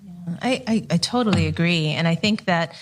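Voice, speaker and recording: Thoughtful woman ethnographer, low-leaning voice. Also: moderate pace. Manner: thoughtful, many "you know" fillers. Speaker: ethnographer and scholar panelist. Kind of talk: roundtable discussion.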